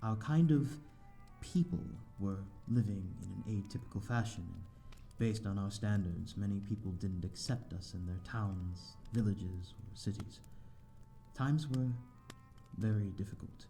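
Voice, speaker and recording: Man playing a deep, mellow, courteous vampire. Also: a little slow, creaky, faint music behind. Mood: wistful, reflective. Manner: hesitant, polite, formal old-fashioned phrasing. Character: courteous, lonely old-fashioned male vampire living in a manor. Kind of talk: slow ASMR audio roleplay, addressing the listener.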